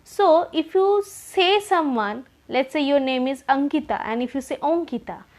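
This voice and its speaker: High-voiced Indian woman teaching English. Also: moderate pace, a little mellow. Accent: Indian English, Bengali. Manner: earnest, repeats key points, direct advice. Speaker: spoken English trainer. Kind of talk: explanatory lesson to learners.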